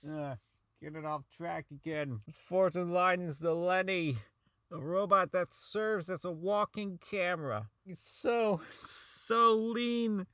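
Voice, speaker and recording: A man's voice: high-pitched, slow, fairly bright tone, no echo. A man playing a socially inept, unravelling robot designer in an audio drama log, rambling, stammering, swearing and repeating himself. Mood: rambling, unsure.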